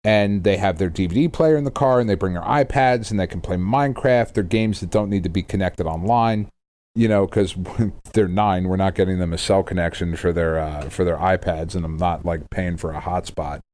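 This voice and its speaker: A middle-aged American man with a fairly low voice co-hosts a podcast. He talks casually and at length, rambling through asides, jokes and swearing. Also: normal speed, darkish tone. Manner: rambling, jokey, profane. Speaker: podcast co-host. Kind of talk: casual banter.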